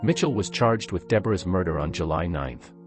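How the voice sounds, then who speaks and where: A man's voice: low voice, animated, brisk, loud music behind the voice. A man reading scripted narration for a true crime documentary.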